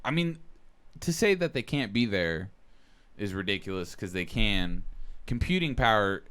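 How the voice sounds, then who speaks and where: Low-voiced man in excited, slangy chat. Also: a little slow, clear, resonant tone. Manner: profane, slangy, enthusiastic rambling. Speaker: podcast co-host. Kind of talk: casual chat with friends.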